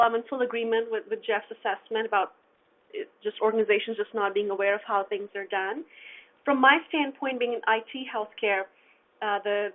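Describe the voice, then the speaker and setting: Woman it exec on a webinar panel, clear and organized, sounds like a phone. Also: mid-range voice. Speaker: IT executive, panelist. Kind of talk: panel discussion. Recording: phone-like narrow audio.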